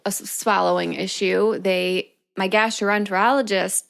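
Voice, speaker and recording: A woman's voice: mid-range voice, fairly even intonation, a little slow, creaky. A woman co-hosting a podcast in casual chat, blunt and joking, with wry asides. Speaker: podcast co-host.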